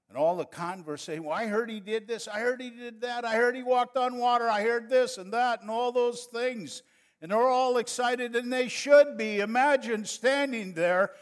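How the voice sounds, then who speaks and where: A man's voice: extremely high pitch, moderate pace. An older man from northern Wisconsin with an Upper Midwest accent, preaching a folksy, chatty sermon that builds to fervent passages. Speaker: pastor.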